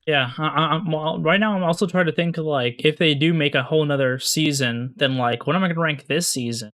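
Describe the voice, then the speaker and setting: A man co-hosting a podcast in casual, slangy chat, talking in a halting, rambling way and often repeating himself. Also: fairly high pitch, a bit bright, dry recording, clean studio sound. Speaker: podcast co-host.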